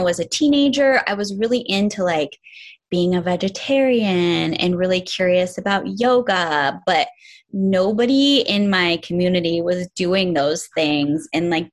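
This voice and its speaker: A woman in her forties from upstate New York, a yoga and self-love teacher, talking reflectively as an interview guest with many "like" fillers. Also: lowish voice. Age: 40s. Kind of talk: casual interview chat.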